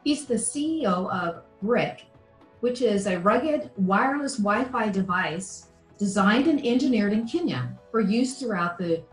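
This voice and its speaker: A woman co-hosting a webinar formally introduces the guest speaker. Her voice carries a roomy echo. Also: mid-range voice. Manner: formal, welcoming announcement. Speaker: webinar co-host.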